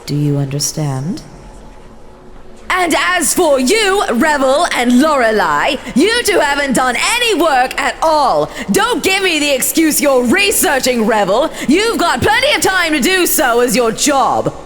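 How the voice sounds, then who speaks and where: A woman's voice: high voice, very animated, measured pace, a bit bright, faint music behind, heavily acted. A woman voicing an imperious vampire elder who addresses her clan in a formal, haughty, scolding manner in a fantasy role-play drama. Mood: stern disappointment, then angry scolding. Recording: long hall reverb, faint noise.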